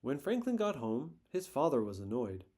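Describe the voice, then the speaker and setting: A man reading a children's picture book aloud in a gentle, expressive storytelling manner. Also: mid-range voice, moderate pace.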